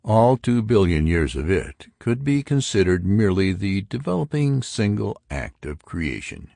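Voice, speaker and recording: A man's voice: deep voice, very animated, unhurried, creaky, warm tone, no echo, studio quality, full character voice. A man voicing an argumentative intellectual who expounds theories to friends in an audiobook. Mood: earnest, arguing. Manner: emphatic, pedantic. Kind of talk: audiobook character dialogue.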